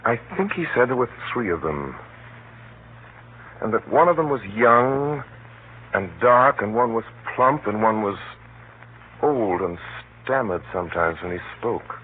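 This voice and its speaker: An actor playing a hunted man who recounts his flight gravely and tensely, with dramatic measured pauses, in a 1930s radio drama. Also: mid-range voice, big pitch swings. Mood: dread, brooding. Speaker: narrator and lead actor. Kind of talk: 1930s radio drama first-person narration. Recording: scratchy, muffled 1930s radio broadcast.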